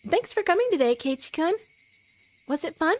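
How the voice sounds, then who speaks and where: Sweet, excitable anime schoolgirl, voiced by a woman, very high and swooping, gushing and pleading. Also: normal speed. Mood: shy, sweet. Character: sweet, excitable, cutesy anime teenage girl. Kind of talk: visual novel character read-aloud.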